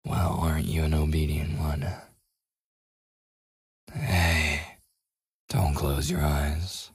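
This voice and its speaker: Casting: male actor as taunting, possessive werewolf for ASMR roleplay. Extremely low register, husky and breathy, menacing. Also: steady tone, dry recording, studio quality, full character voice. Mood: gruff, teasing. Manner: slow, teasing, menacing. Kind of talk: boyfriend ASMR audio roleplay acting.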